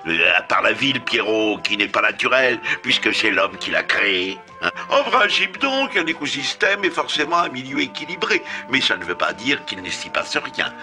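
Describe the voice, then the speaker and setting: An actor playing an earnest, modest cartoon owl schoolmaster, formal and professorial, a little hesitant. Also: male voice, mid-range voice, sing-song, normal speed, hardly any pauses, pushed and bright, faint music behind, heavily acted. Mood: didactic. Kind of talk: cartoon dub, lecturing.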